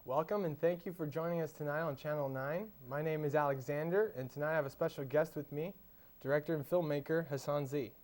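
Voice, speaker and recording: A man's voice: fairly high pitch, a little fast, a little mellow. A young man hosting a student show, reading upbeat scripted links and interviewing a guest. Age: young adult. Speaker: student host and presenter.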